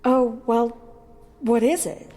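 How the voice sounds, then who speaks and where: A woman's voice: on the high side, slow pace, dark tone, slight background noise. A woman playing a curious, earnest young female adventurer in an audio drama, full of hesitant wonder.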